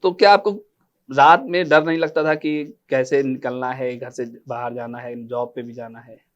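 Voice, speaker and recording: A man's voice: medium pitch, steady tone, normal speed, muffled recording. A man hosting a formal news interview.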